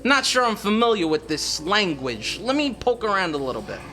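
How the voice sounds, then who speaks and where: A man's voice: high voice, normal speed, faint music behind, heavily acted. A man playing a crude, laid-back slacker. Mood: casual. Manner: relaxed and crude, laughing often. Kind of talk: comedic audio drama acting.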